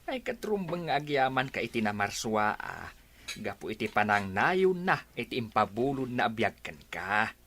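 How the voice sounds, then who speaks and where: A humble old father speaking softly to his daughter, as a man plays him. His pitch moves a lot, with a faint hiss behind the voice. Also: sing-song, moderate pace. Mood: tender. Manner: soft, apologetic.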